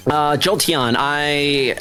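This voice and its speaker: A speedrun racer casually commentating on their own gameplay, talking through each move in an animated way. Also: mid-range voice, extremely slow, faint music behind. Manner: animated, self-narrating play.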